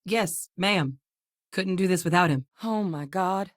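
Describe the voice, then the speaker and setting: An actress voicing a tough, composed female military scientist in a video game, speaking in a brisk, dry manner. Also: fairly low pitch, no echo, close to an everyday voice. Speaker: game character voice lines.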